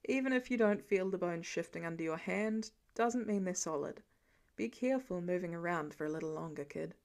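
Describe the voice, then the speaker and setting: Storybook dad type, acted by a woman: gentle but gruff, guarded, informal clipped lines, slightly lowered voice. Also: fairly quick, natural voice. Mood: relieved, concerned. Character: gentle, guarded adult man, fatherly and casually gruff.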